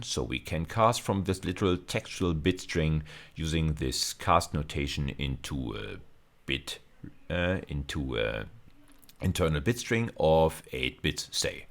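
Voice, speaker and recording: Very deep-voiced male lecturer. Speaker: university lecturer. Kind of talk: explanatory video lecture.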